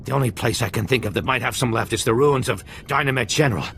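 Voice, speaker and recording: An actor playing a cagey, sarcastic pirate doctor full of bluster in a video game, with music behind. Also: male voice, mid-range voice, quick pace, gravelly, fairly bright tone, slight background noise. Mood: defensive, sarcastic. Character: sardonic pirate doctor, cagey, bluffing, threatening lowlife.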